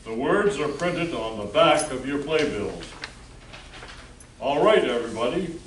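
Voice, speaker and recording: Slow-talking male radio play host, echoey. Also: medium pitch, expressive. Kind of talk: scripted announcing to a live audience. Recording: echoey room, distant mic, faint noise.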